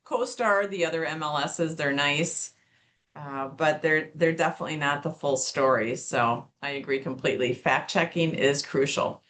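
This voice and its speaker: A woman's voice: deep voice, moderate pace, a bit bright, slight background noise. A woman podcast host from Wisconsin, leading a casual interview and readily agreeing while adding her own experience.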